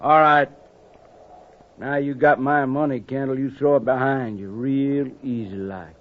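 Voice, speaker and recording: An actor playing a swaggering, threatening outlaw boss in a 1950s radio western, bossy and taunting, with a rustic Western American accent. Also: male voice, medium pitch, steady tone, measured pace, close to an everyday voice. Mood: menacing. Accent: rustic American West. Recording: dull, noisy 1950s radio recording.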